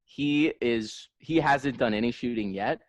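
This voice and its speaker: A man's voice: medium pitch, measured pace, no room sound. A man co-hosting a podcast, riffing casually with friends and swearing freely. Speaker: podcast host. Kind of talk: casual comedic banter.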